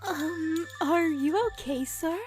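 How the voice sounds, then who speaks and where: Woman voicing a very high, cutesy anime young lady. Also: fairly even intonation, takes it slowly, soft background music. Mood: gentle, reassuring. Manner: gentle, cutesy, hesitant when embarrassed. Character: sweet, kind, easily flustered young woman. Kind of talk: anime-style manga dub acting.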